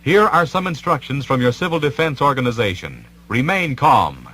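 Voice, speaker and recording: A man reading an official emergency announcement in a vintage civil defense radio style, formal, deliberate and authoritative. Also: medium pitch, big pitch swings, bright, forceful tone.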